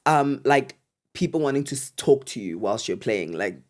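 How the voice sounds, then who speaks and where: A man's voice: medium pitch, animated, normal speed, a crowd in the background. A man with a South African accent co-hosting a podcast, chatting casually with plenty of slang. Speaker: podcast co-host. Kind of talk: casual chat.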